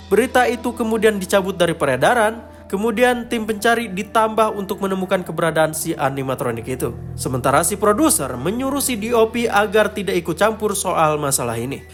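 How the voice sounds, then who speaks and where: A male narrator with a high-pitched voice tells a scripted horror story. Music plays clearly behind the narration. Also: lively intonation, a little fast. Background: clear background music.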